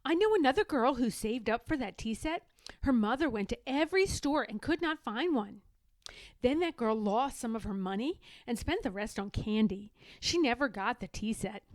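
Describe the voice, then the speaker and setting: A woman's voice: a little high, animated, normal speed, no room sound, natural voice. A woman voicing a sly, boastful little girl in a children's storybook, knowing and persuasive. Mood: sly, discouraging. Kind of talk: children's storybook read-aloud.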